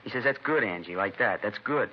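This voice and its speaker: An actor playing an evasive, streetwise seventeen-year-old American delinquent in slangy, grudging radio drama dialogue. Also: male voice, on the low side, expressive, brisk. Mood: evasive, defensive. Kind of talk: 1950s radio drama acting. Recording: dull, noisy vintage radio recording.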